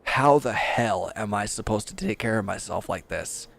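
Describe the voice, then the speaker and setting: A man's voice: on the low side, animated, moderate pace, gravelly, a bit bright. A man playing a bitter, proud and resentful injured villain in a dramatic audio roleplay, speaking with sardonic, confrontational edge. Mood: bitter, despairing.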